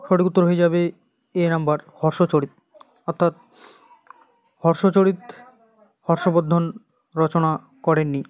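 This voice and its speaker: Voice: medium pitch, flat delivery, measured pace, long pauses, a little mellow, no echo. An exam coaching teacher with an Indian Bengali accent, reading out quiz questions and repeating the answers in an instructional way.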